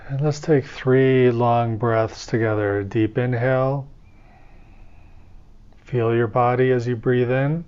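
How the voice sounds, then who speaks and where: Male meditation teacher, calm and instructive, level monotone delivery, muffled lo-fi sound. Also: medium pitch, clear, resonant tone. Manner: slow, soothing, gently instructive. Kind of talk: guided meditation.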